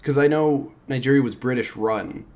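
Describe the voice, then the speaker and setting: A man's voice: mid-range voice, moderate pace, a little mellow. A man hosting a podcast, asking curious follow-up questions in a casual, conversational way. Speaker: podcast host.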